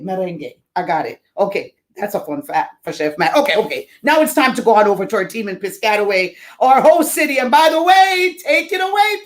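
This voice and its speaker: Playful Jamaican woman hosting a stream. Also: fairly high pitch. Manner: playful, teasing and chatty, cheering on the audience. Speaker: event host.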